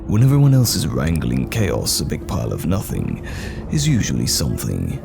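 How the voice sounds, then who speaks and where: A man playing a steadfast knight general who protects common folk, measured, commanding and reassuring, in a fantasy audio roleplay. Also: huge pitch swings, very noisy, heavily acted. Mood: composed, firm. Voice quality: deep, gravelly. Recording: background music under the voice. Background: music.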